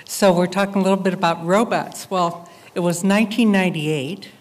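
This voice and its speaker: A woman artist presenting her project in a public talk, speaking reflectively with frequent hesitant pauses. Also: on the low side, fairly even intonation.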